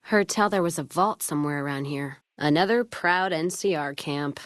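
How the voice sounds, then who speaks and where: An American woman playing a jaded, hard-drinking, foul-mouthed caravan trader in a video game, with a gruff, sardonic, folksy manner. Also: deep voice, moderate pace, no room sound, studio quality, natural voice. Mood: grim, disgusted. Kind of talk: video game companion voice lines.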